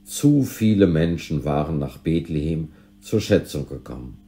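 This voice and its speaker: A man reading a scripted storybook narration in a measured, expressive way. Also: extremely low pitch, vocal fry. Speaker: volunteer reader of an audio story.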